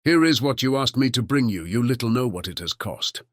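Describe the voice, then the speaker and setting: A man's voice: mid-range voice, expressive, brisk, dry recording, clean studio sound, close to an everyday voice. A man playing a sad, weary merchant father in a fairy tale audiobook, speaking in a subdued way. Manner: sorrowful, subdued.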